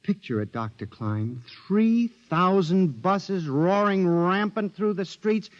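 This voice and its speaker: Frantic asylum patient, pleading, high-pitched voice, lively swings, dull old radio sound. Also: male voice, slow. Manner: earnest, pleading, rising to frantic. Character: man, a frantic asylum patient fearing machines. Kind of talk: 1950s radio drama acting.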